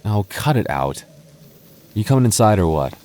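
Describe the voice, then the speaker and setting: A man voicing an affectionate, teasing young goth boyfriend in an ASMR roleplay, talking softly and intimately. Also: fairly low pitch, big pitch swings, normal speed. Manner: soft, intimate, playfully teasing. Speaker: voice actor.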